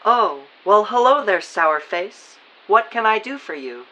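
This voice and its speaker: A woman's voice: medium pitch, animated, a little slow, bright, forceful tone, low-quality recording. A woman playing a suave, courteous male funeral director in an audio drama, formal and solicitous. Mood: smooth, welcoming. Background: music.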